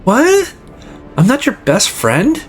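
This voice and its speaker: Visual novel role: eager, insistent young woman gamer played by a man, very lively pitch, faint music. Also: slow, exaggerated voice. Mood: hurt, insistent. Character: young woman gamer friend, eager, teasing, jealous of rivals.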